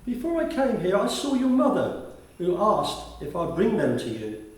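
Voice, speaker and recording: Echoey actor as high-pitched, courteous male school officer. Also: wildly swooping pitch, moderate pace, a little mellow. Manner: courteous, formal address, talkative. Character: kindly, chatty male school attendance officer, polite and concerned. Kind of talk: radio play acting. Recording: very echoey room, distant from the mic.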